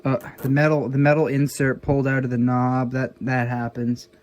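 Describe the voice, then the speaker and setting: A man's voice: mid-range voice, completely flat delivery, moderate pace, darkish tone, slight background noise. A man giving casual, hands-on repair commentary as a hobbyist, thinking aloud in an informal way. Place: indoors.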